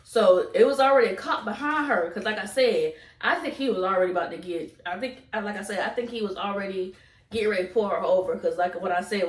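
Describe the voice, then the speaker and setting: A young adult woman with a Southern US accent telling a casual, repetitive story to viewers as a vlogger. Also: mid-range voice. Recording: roomy echo, distant from mic.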